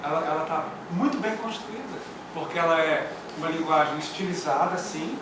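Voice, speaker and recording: A man's voice: on the high side, moderate pace. A man with a Brazilian Portuguese accent giving emphatic, didactic feedback as a theatre teacher, repeating his key phrases. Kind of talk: spoken critique at a post-performance discussion. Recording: echoey room from far off, noisy.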